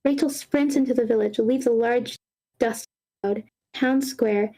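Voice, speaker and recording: Higher-pitched woman reading screenplay narration. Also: fairly even intonation, a little slow, dark tone. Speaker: table read narrator. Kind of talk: reading screenplay action lines aloud.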